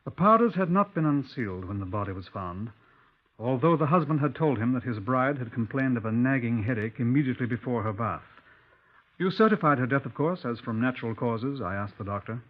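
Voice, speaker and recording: A man playing a measured, persistent Scotland Yard inspector who narrates a 1950s radio crime drama. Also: medium pitch, animated, natural voice. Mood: measured narration. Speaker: narrator and lead actor. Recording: dull 1950s radio recording.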